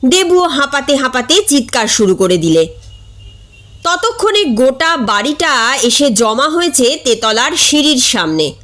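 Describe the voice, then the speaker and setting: A woman reading a comic short story aloud in an expressive, dramatic way. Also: sits high, a little slow. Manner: expressive, acts out characters' dialogue.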